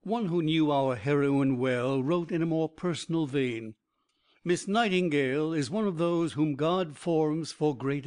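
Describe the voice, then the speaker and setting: A man reading a biography aloud as an audiobook narrator, in an even, measured way. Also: fairly high pitch.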